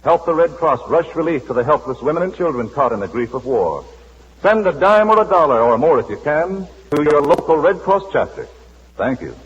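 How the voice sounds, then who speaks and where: A man announcing ads in a smooth, persuasive 1940s radio style. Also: fairly high pitch, big pitch swings, fairly quick, slight background noise. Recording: dull old radio broadcast.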